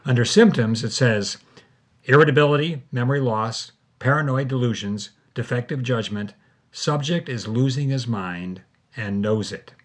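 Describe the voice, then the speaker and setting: A man narrates a scripted story as a podcast co-host. His voice sits at an average pitch. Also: moderate pace.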